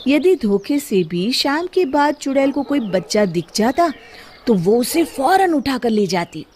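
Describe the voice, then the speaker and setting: A woman narrating a scripted children's story in a dramatic, expressive way. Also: high voice, a little slow, slight background noise.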